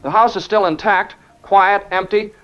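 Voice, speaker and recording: A man's voice: high-pitched, steady tone, normal speed, natural voice. A man playing a resigned, sincere ham radio operator speaking solemnly in an apocalyptic radio drama. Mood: grim, wondering. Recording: dull radio sound, rain and music.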